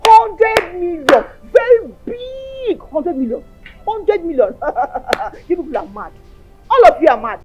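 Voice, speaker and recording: Nigerian woman doing a frantic girl going on and on, very high squeaky voice all over the place. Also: sing-song, slow pace, lo-fi sound, soft background music, exaggerated voice. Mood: ranting. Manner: repetitive, insistent, shouty. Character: frantic young woman insisting on vanished money. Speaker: woman claiming missing money.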